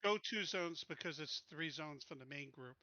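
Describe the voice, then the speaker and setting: A man's voice: fairly high pitch, moderate pace, sharp tone, no room sound, highs cut off. A man talking casually as a player planning moves in an online tabletop game, repeating and insisting on his points.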